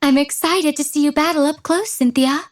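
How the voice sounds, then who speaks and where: A woman's voice: high voice, normal speed, close to an everyday voice. A woman voicing spirited young female trainers in short scripted video game lines. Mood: poised, elegant.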